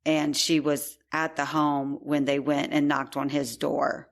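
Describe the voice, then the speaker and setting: Woman, low voice, true crime podcast co-host, chatty case recap. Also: little pitch movement, a little slow. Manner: chatty, laughs easily. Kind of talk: reading true crime news in a conversational way.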